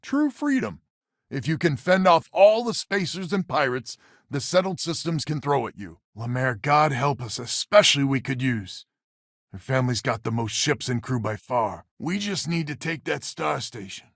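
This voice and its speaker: A wry, folksy space settler, lively pitch. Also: male voice, no room sound, studio quality. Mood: earnest, resolute. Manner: folksy, sardonic, plain-spoken. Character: wry, weary male space settler leader, folksy and stubborn. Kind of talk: video game character dialogue.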